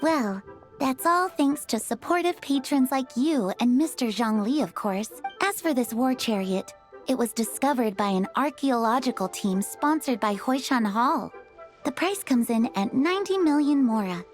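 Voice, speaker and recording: A woman's voice: high voice, animated, moderate pace, soft background music. Poised, polite woman antiques dealer. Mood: polite, businesslike. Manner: polite, businesslike. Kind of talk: scripted video game dialogue acting.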